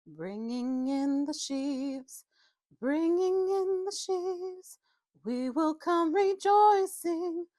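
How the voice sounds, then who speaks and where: A devout woman out for a night stroll sings a hymn in a high, strong voice, acted out by a woman narrator. The notes are slow and drawn out, with a quaver at the top. It is an audiobook character, recorded dry. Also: lively intonation, dark tone, no echo, soft background music, heavily acted. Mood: devout singing.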